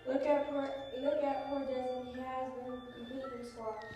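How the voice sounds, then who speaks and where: A woman's voice: sits high, flat delivery, moderate pace, mellow, soft background music, lightly acted. A woman playing an alarmed, melodramatic guest at a will reading in a radio drama, given to exclaiming. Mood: panicked. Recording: very echoey hall from far off.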